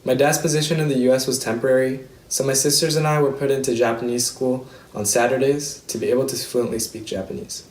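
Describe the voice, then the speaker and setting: A man's voice: medium pitch, steady tone, quick pace, few pauses, roomy sound, low-quality recording. A man around twenty, a student from the United States, giving an earnest, reflective prepared speech. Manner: earnest, reflective, reading a script. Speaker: student speaker.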